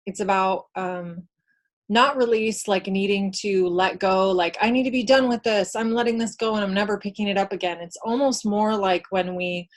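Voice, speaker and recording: Woman with mid-pitched, conversational voice. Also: steady tone, normal speed. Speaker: co-host of a spiritual healing livestream. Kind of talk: casual livestream talk, giving spiritual readings.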